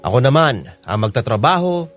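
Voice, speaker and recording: A man's voice: mid-range voice, big pitch swings, brisk, close to an everyday voice. A man narrating a troubled letter writer's family story in an earnest, confiding way for a radio drama. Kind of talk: scripted first-person radio drama narration. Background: background music.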